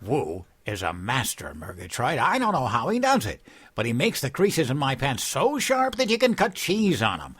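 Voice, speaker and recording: An actor playing a dim-witted, childlike, cheerful President-elect in a comedic audio drama, naive and earnest. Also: male voice, mid-range voice, huge pitch swings, normal speed, fairly bright tone, husky, dry recording, full character voice.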